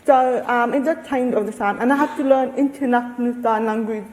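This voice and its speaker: A woman with a slightly high voice answers on a panel, thoughtful and halting, often restarting her sentences. Her voice carries a long reverb from the hall. She speaks into a handheld microphone. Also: fairly even intonation, a little mellow. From Australia. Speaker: researcher and panel guest.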